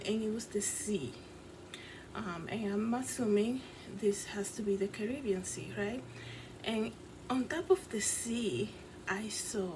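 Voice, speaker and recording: A woman from the Dominican Republic with a Dominican accent, earnestly recounting a dream in a casual, rambling vlog full of fillers, recorded in her apartment. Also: medium pitch. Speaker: vlogger and fashion designer. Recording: clear background noise.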